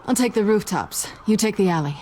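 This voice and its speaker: A woman's voice: medium pitch, animated, fairly quick, mellow, a little hiss or hum, lightly acted. A woman playing a sly, flirtatious and self-assured cat burglar in a video game, with a cool teasing manner. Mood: cool, decisive.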